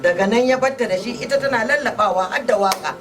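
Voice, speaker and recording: A man's voice: sits high, racing, background noise. A man telling a folktale as a storyteller. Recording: distant mic under loud music.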